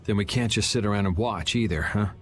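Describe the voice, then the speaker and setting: An American actor playing a cool, wry, self-assured young hero in an anime game dub, speaking in a dry, sardonic way. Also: male voice, lowish voice, quick pace, faint music behind, natural voice. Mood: wry, determined.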